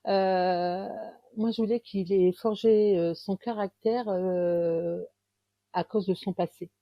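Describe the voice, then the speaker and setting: A woman in her fifties from northern France, a romance author chatting in an interview, pausing often and restarting her sentences. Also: medium pitch, fairly even intonation, no echo, lo-fi sound. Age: 50s. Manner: hesitant, many pauses and restarts. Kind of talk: casual interview chat.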